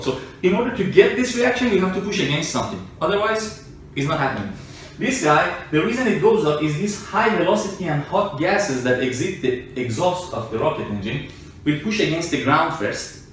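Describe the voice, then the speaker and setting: A man's voice: fairly high pitch, very animated, normal speed. A man explaining informally to a listener in an argumentative way, working through everyday examples. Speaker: explainer, self-described engineer and scientist. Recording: echoey room, distant mic, faint noise.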